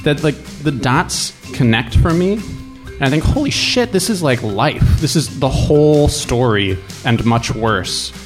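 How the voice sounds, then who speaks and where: A man's voice: mid-range voice, lively intonation, measured pace, soft background music. A man talking casually as a guest, telling personal stories in a wry, self-deprecating way. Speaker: guest storyteller.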